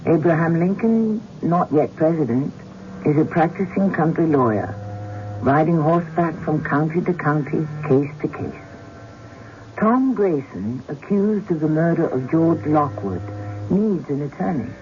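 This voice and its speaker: A man's voice: fairly high pitch, animated, unhurried. A man giving scripted, theatrical narration as the host of a radio drama. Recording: dull, noisy old radio broadcast.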